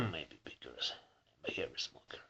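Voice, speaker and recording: A husky-voiced man who keeps clearing his throat, reading a book aloud haltingly for his video channel and stumbling over words. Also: fairly low pitch, huge pitch swings, muffled recording. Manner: halting reading with restarts and slips. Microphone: camera mic some distance away.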